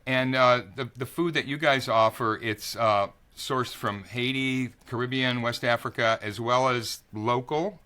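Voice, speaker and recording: An upbeat man hosts a conversational interview, his voice bright and ringing at an ordinary mid pitch. He leads in by reading his guests' introductions. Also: fairly even intonation, a little slow, few pauses, smooth.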